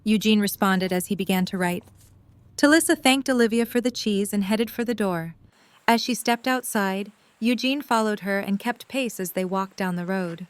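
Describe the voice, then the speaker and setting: A woman reads scripted story narration. Her voice is mid-pitched and cleanly resonant, suited to an audio drama narrator. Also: moderate pace, no echo.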